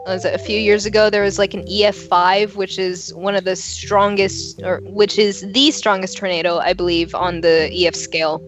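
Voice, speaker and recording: A woman's voice: mid-range voice, flat delivery, moderate pace, few pauses, soft background music. A young woman from Oklahoma chatting in a rambling, casual way on a podcast, with lots of fillers. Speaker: podcast co-host.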